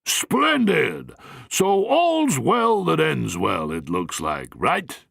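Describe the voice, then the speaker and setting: A man voicing a bluff, blustering older patriarch who speaks in clipped, gruff bursts. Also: a little high, huge pitch swings, extremely slow, a bit bright, no room sound, studio quality, pitched above the performer's own voice, full character voice.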